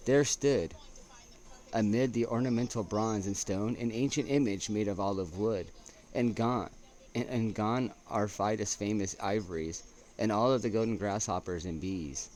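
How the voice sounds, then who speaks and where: A man reading poetry aloud as an amateur, in a halting way with stumbles and restarts. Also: on the low side, a little mellow. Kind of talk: poetry recitation with casual asides. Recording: noticeable background noise.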